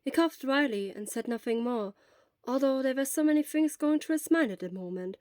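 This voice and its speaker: Casting: woman narrator for an audiobook, soft, slightly high voice, dry close recording. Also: brisk, no echo. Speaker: fan fiction reader.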